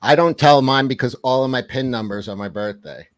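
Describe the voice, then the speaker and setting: A speaker in a casual group chat making dry, deadpan quips. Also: medium pitch, brisk, no echo.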